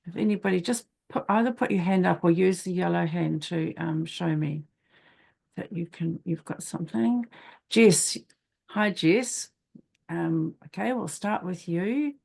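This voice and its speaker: Low, creaky-voiced New woman hosting. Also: a little slow, a little mellow. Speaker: host of an online poetry event. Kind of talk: informal spoken hosting.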